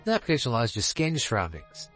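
A man's voice: mid-range voice, wildly swooping pitch, very fast, fairly bright tone, faint music behind. A man voicing a solemn god of time and space, formally announcing the rules as game master in a visual novel. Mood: solemn, commanding. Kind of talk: reading visual novel dialogue aloud.

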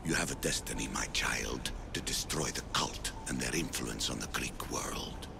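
An actor playing an ancient, detached old philosopher in a video game, speaking in a measured, aloof way. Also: male voice, very deep voice, creaky, noisy background, heavily acted. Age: older. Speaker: game character.

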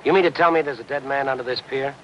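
An actor playing an inquisitive, dryly persistent middle-aged newspaper reporter in a 1950s television crime drama. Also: male voice, medium pitch, quick pace, a bit bright, muffled recording, lightly acted. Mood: curious, insistent. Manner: questioning, dry, probing. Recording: noisy old 1950s film soundtrack.

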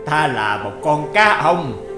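A man voicing a kindly, protective grandfatherly fish in a cartoon fairy tale, slow and soothing. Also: fairly high pitch, big pitch swings, reverberant, over music. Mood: calm, reassuring.

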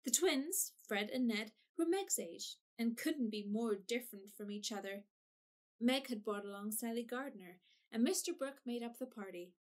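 A woman's voice: on the high side, lively intonation. Woman narrating a classic drama. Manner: clear, measured storytelling. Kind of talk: scripted narration.

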